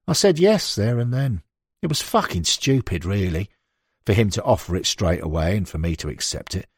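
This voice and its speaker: A man voicing a blunt, animated football manager reminiscing. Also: fairly low pitch, moderate pace, slightly rough, no echo, clean studio sound. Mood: rueful, exasperated. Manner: conversational, emphatic, blunt storytelling. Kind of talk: acted interview quotations in an audiobook.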